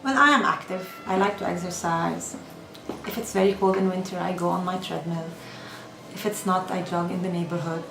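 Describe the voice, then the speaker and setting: A woman's voice: lowish voice, steady tone, normal speed, vocal fry. A middle-aged woman from Lebanon, an Arabic speaker and teacher, talking about her life in an earnest, reflective way and often saying "like". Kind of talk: personal testimony. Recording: roomy, with music behind. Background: song playing.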